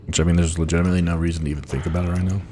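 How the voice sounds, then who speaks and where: Man, deep groggy voice, blunt quick chat while streaming, faint hiss behind. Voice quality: low voice. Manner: blunt, sounds annoyed even when not. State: groggy, just woke up. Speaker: speedrunner streaming a game. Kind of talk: casual live-stream commentary.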